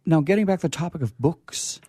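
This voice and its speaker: A man hosting a casual on-air interview in a chatty, halting, wordy way, with playfully grandiose touches. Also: on the high side, animated, dark tone, husky, no echo, studio quality. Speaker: radio host. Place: radio studio.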